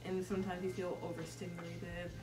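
A woman's voice: fairly low pitch, completely flat delivery, a little fast, music in the background. A young woman from Boston talking casually and thoughtfully among friends. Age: young adult. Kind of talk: casual chat. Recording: roomy sound from a distance.

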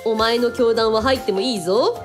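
A woman's voice: on the high side, brisk, music in the background, close to an everyday voice. A woman playing a playful female show host who coaxes and eggs others on in a comic radio drama. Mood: excited, encouraging. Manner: bubbly, coaxing, exclamatory.

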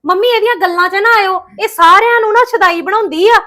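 An actress playing a quarrelsome young daughter-in-law in a family drama, accusing and argumentative. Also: female voice, very high voice, fast talker, sharp tone.

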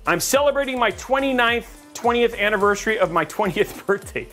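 Animated high-voiced man hosting a livestream. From Texas. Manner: animated, joking, rambling with restarts. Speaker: livestream host reading chat. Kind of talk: casual livestream chat.

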